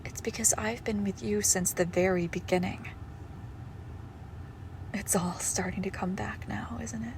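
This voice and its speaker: Woman acting a slow, creaky-voiced possessive monster girlfriend. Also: medium pitch, steady tone, noisy background, close to an everyday voice. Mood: doting, eerie, then tender, ominous. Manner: slow, tender, menacing, often dropping into whispers. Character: possessive young female shadow monster posing as doting girlfriend. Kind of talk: intimate horror roleplay audio, scripted monologue.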